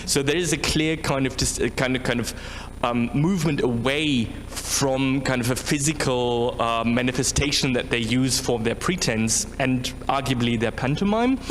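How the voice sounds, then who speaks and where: A man with a mid-pitched voice, recorded with noise and ambience behind him. He puts an academic question tentatively, pausing and rephrasing as he thinks aloud. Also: fairly bright tone. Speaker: academic audience member asking a question. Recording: clearly noisy, background room ambience.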